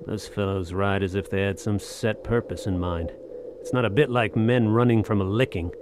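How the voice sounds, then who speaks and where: An actor playing a wry, world-weary soldier of fortune, telling his adventure in an audio drama with measured, literary delivery. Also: fairly low pitch, fairly even intonation, background noise, natural voice. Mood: wry, resolute. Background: music.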